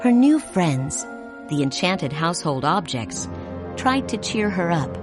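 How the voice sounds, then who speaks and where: A woman's voice: on the low side, big pitch swings, a little slow. Storytelling woman over music. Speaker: narrator. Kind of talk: children's storybook read-along. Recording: music playing behind.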